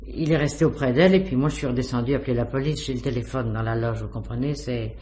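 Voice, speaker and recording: A woman's voice: rumbling low, steady tone, fast talker, mellow. A woman playing a flustered, garrulous building concierge in a radio crime drama, full of exclamations and hesitations. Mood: chatty, concerned.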